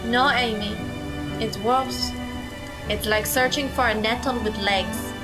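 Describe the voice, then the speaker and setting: A woman's voice: a little high, fairly even intonation, slow, loud music behind the voice. A woman playing a dry, blunt young sister who teases wryly in an audio drama. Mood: wry, teasing. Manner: wry, blunt.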